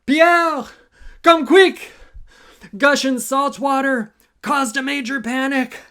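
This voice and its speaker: Man voicing a loud, high-pitched cockroach ship captain. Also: fairly even intonation, takes it slowly, exaggerated voice. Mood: urgent alarm. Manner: loud, declamatory yelling. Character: male cockroach ship captain, commanding, defiant, dramatic.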